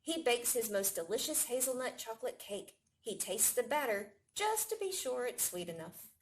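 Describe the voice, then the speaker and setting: A woman reading a picture book aloud to young children in an expressive storytelling manner. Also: fairly high pitch, moderate pace. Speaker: library staff storytime reader.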